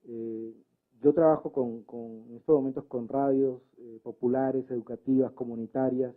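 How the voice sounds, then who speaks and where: A man with a medium-pitched voice takes part in a spontaneous debate. He speaks reflectively and haltingly, often checking with short tag questions, over a narrow, phone-like recording. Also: steady tone. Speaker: debate participant working with community radios.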